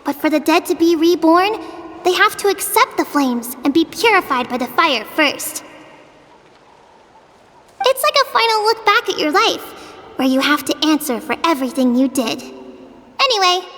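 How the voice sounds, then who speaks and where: Very high, bright little kid. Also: female voice, expressive, normal speed, pauses often. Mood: matter-of-fact. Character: lively, candid, innocent young child. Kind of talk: video game voice acting. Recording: long reverb. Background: music.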